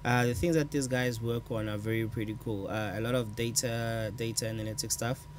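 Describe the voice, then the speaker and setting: A man's voice: medium pitch, steady tone, a little fast, background noise. A man co-hosting a tech show, pointing viewers to programs in a casual, upbeat way. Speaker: co-host.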